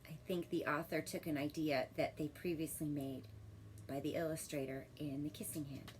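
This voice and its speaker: A woman teacher reading a children's story expressively and pausing to ask questions in a deliberate, instructive manner. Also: deep voice, flat delivery, a little mellow, a little hiss or hum.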